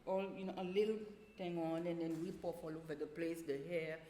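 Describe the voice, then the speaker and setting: A woman telling casual anecdotes in an animated way, laughing often and acting out what people said. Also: lowish voice, a little fast. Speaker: guest, teacher of small children. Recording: roomy echoing space with faint noise.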